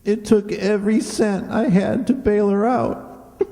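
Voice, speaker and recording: A man's voice: high-pitched, steady tone, a little slow, warm tone. Man acting a slippery hard-luck debtor, echoing hall. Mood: woeful. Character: Mister Wormley, hard-luck sob-story debtor, slippery and polite. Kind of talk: old-time radio comedy acting. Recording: reverberant hall stage recording.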